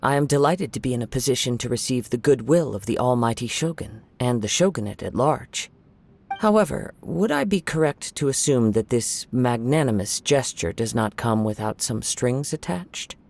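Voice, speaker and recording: A man playing a calm, courteous young wandering swordsman in a video game, gentle and reflective in formal wording. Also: medium pitch, moderate pace, vocal fry. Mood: courteous, guarded.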